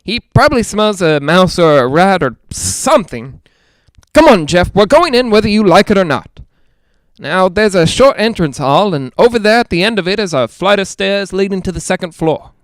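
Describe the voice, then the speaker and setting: Man acting as radio reporter, high clear voice, excitable, skeptical, dry close sound, old-time radio drama. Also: normal speed, no echo. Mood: brisk narrating. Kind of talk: 1940s radio drama acting, live on-the-scene commentary.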